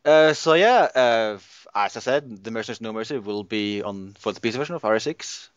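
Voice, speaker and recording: A man hosting a casual gaming podcast, talking in halting bursts with frequent restarts. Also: fairly low pitch, expressive, clear, resonant tone, no echo, muffled recording. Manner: hesitant, lots of restarts and fillers. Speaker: podcast host.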